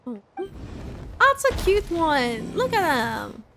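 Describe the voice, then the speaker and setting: A woman's voice: high-pitched, big pitch swings, slow, noisy background. A woman streamer giving casual reaction commentary over a video game, musing in asides that often trail off. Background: game music.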